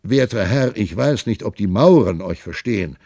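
A man's voice: on the low side, lively intonation, normal speed, vocal fry, mellow, no room sound, studio quality. A man voicing a noble, hearty giant prince in an audiobook reading, with stately, emphatic old-fashioned speech. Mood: hearty, commanding.

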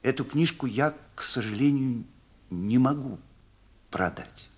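A man voicing an awkward, reluctant young man who speaks haltingly, as if with difficulty. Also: mid-range voice, breathy edge.

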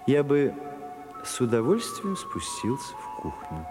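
A man playing a weary, witty, melancholy aesthete in a radio drama, speaking languidly and deliberately with ironic pauses. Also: medium pitch, huge pitch swings. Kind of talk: slow theatrical radio drama acting. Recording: 1980s radio play with music behind.

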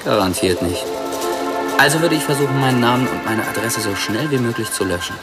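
An actor playing a clever, slightly smug computer expert, explaining things in a wry, pedantic way in a radio drama. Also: male voice, mid-range voice, animated, brisk, over loud music, close to an everyday voice. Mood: pleased, cunning.

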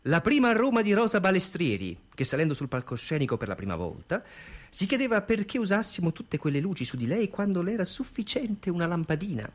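Animated, wry man reminiscing. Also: sits high, brisk, a little hiss or hum. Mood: nostalgic. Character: wry, nostalgic man recalling theatre days. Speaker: stage performer narrating theatre memories. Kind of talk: theatrical scripted narration for radio. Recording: music behind the voice. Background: music.

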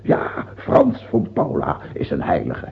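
A very raspy, creaky king out of an old radio play, heard through a narrow, tinny band. He is imperious and self-important, stubbornly set against dying, and swings from proclaiming to muttering. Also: a bass voice, huge pitch swings, slow, full character voice. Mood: brooding, calculating.

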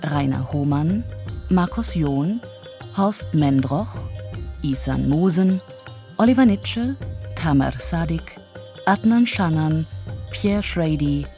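A woman's voice: sits low, expressive, slow, long pauses, mellow. A woman announcer reading scripted closing credits for a radio play. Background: prominent music.

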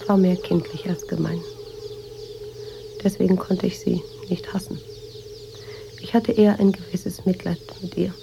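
An actress in a German radio drama playing a wistful, introspective woman writer with a measured, restrained delivery. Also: medium pitch, gravelly, warm tone, lo-fi sound, a little hiss or hum. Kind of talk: German radio drama, intimate narration and dialogue.

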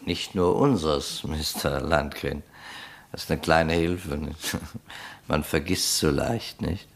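An actor playing a calm, probing police investigator in a radio crime drama, polite and soothing in manner. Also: male voice, very deep voice, takes it slowly, creaky, full character voice. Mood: quietly insistent.

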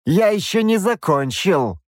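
A man voicing a stern, zealous bishop who speaks coldly, with a measured hiss. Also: high voice, big pitch swings, dry recording, studio quality, voice pushed up, exaggerated voice. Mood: cold, menacing. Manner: cold, hissing, measured.

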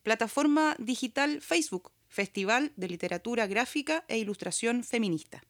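A woman's voice: a little high, animated, normal speed, no room sound, clean studio sound. A Chilean woman reading scripted announcements as a podcast host. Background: background music.

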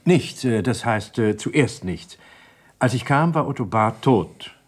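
Hesitant, husky-voiced doctor. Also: male voice, mid-range voice, expressive. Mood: uneasy, guarded. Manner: hesitant, evasive, halting. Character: uneasy male doctor, guarded jealous husband. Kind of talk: German radio crime drama acting.

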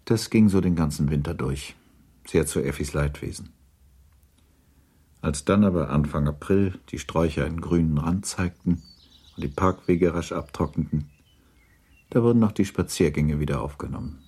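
Extremely deep, husky male narrator. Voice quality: husky, mellow. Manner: measured, restrained storytelling. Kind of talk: literary scripted narration for radio drama.

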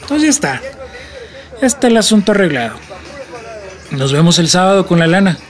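A man's voice: sits high, big pitch swings, brisk. A man with a Mexican accent acting in a radio play as a cocky, shady border smuggler, brusque and slangy. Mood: bossy, sly.

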